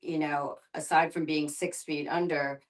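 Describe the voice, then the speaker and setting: A woman's voice: low voice, little pitch movement, measured pace. A woman facilitating a casual group discussion, thinking aloud in a hesitant way.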